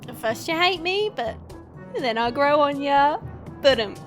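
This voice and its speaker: A woman's voice: high voice, expressive, unhurried, soft background music. A woman playing a cheeky, chatty young monster girl in an audio roleplay, playful, teasing and theatrical. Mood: whiny, playful.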